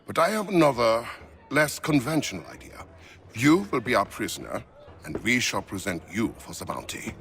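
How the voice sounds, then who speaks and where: An actor playing a calm, persuasive rebel plotter in a video game, articulate and conversational. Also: male voice, medium pitch, moderate pace. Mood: sly planning. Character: scheming rebel ally, man, calm and persuasive. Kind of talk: video game character dialogue.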